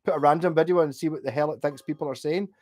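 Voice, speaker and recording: A man's voice: on the high side, steady tone, racing, a little mellow. A man with a Glasgow accent rambling casually and swearing freely as a livestream host, reading out and answering viewers' comments. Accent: Glaswegian. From Glasgow, Scotland. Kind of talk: casual chat.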